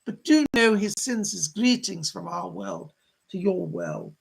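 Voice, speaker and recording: A man voicing a gentle, motherly female spirit guide in a trance séance, effusive and soothing, with genteel endearments and a husky, creaky voice. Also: high voice, huge pitch swings, unhurried, full character voice. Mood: apologetic, reassuring.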